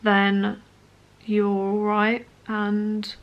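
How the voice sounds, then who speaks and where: A woman in her late twenties talking personally to camera as a vlogger, in an earnest, hesitant, halting way. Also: medium pitch, completely flat delivery, lo-fi sound. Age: late 20s.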